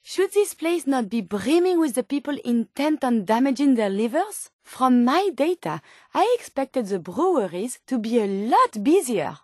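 High-pitched, inquisitive robot scientist lady. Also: very animated, a little slow, darkish tone, no room sound, studio quality, heavily acted. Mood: curious, matter-of-fact. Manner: precise, formal, bookish phrasing. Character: curious, polite, naively earnest female robot scientist. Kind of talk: video game companion dialogue.

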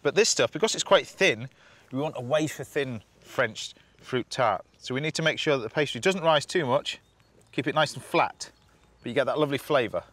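A man, a TV chef chatting through a cooking demonstration outdoors, instructive and quick to laugh. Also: mid-range voice, expressive, a bit bright, no room sound. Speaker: TV chef host. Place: outdoors at a campsite.

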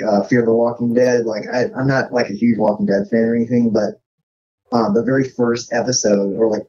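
Guy chatting casual, mellow dark voice, folksy slang, kinda muffled lo-fi audio. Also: lowish voice. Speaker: podcast guest. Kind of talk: casual chat. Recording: dull lo-fi audio.

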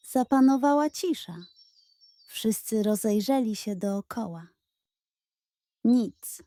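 A woman narrating a scripted children's storybook in a gentle, expressive way. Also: fairly high pitch, no echo. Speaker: storyteller of children's bedtime tales.

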